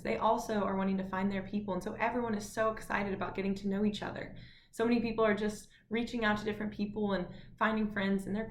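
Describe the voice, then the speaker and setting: A young woman from Fort Worth, a college student talking earnestly about campus life. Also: medium pitch. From Fort Worth, Texas. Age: young adult. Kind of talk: casual video chat to camera. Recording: echoey room, faint noise.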